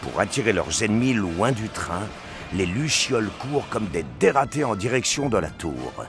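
A man's voice: lowish voice, big pitch swings, moderate pace, over music. A man giving a dramatic scripted narration of a science fiction action story.

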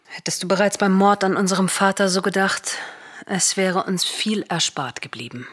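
An actress playing a cautious, reasoning royal sister in a classical radio drama, speaking in formal, measured, admonishing verse. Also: female voice, mid-range voice, fairly bright tone, close to an everyday voice.

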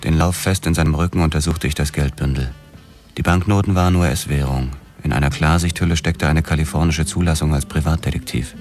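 A man's voice: very deep voice, fairly even intonation, fairly quick, a little mellow, soft background music. A man playing a weary, terse police detective narrating a noir radio drama. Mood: terse, controlled.

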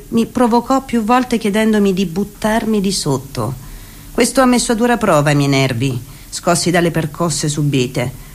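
A woman narrating and reading testimony in a scripted theatre piece. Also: lowish voice. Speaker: stage narrator and reader of testimony.

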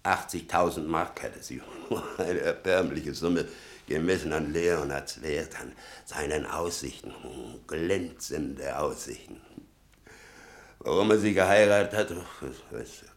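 An actor playing a bitter, doting old father in a 1960 German radio play, halting and brooding, muttering with sudden emphasis. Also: very deep voice, heavily acted. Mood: proud, wistful. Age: older.

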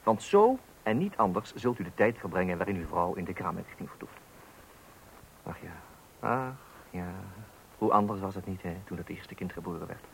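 A man's voice: deep voice, low-quality recording. A man playing a wry fatherly narrator in a radio play, addressing men with pompous mock solemnity on a hissy old recording. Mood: mock-solemn warning.

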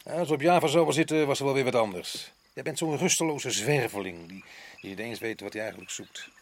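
An actor plays a weary, defensive official in a radio drama. He is a man with an average-pitched voice. His delivery is measured and dismissive, that of someone set on being reasonable. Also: a little hiss or hum, lightly acted. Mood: reproachful.

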